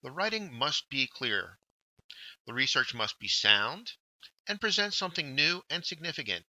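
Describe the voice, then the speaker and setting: A man presenting a scripted instructional workshop, with deliberate, emphatic phrasing. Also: a little high, very animated, bright, forceful tone, no room sound, rough recording. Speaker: workshop presenter, journal editor.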